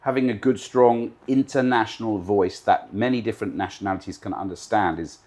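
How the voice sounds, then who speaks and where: Low-voiced confident British-raised man. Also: fairly quick, a little mellow. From Britain. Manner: confident, emphatic, builds points in repeated lists. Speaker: guest, live TV director. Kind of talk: interview answers.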